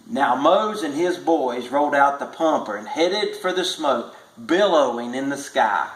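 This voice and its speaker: A man reading a tall tale aloud as a storybook narrator, measured and with stretched emphasis. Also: mid-range voice, animated. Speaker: storybook reader. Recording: echoey room.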